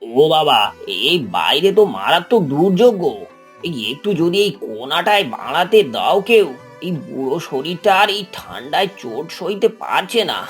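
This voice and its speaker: An actor playing a humble old shopkeeper in a cartoon, pleading meekly and apologetically in a folksy way. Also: male voice, a little high, sing-song, moderate pace, soft background music. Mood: weary pleading. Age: older. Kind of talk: cartoon voice acting.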